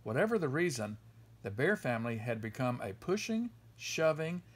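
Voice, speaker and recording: A man reading a children's story aloud in an expressive storytelling style. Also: mid-range voice, moderate pace, husky. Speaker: storybook reader.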